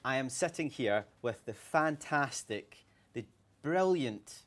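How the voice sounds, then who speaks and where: A man's voice: mid-range voice, expressive, slow pace, fairly bright tone. A young Scottish man hosting a livestream interview, chatty and upbeat with plenty of ums. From Scotland. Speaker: livestream host. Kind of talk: casual interview chat.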